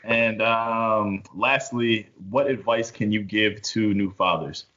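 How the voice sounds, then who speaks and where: A man's voice: lowish voice, fairly even intonation, slow pace, lo-fi sound, background noise. A man hosting an interview, friendly and affirming, speaking in casual slang. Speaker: podcast host.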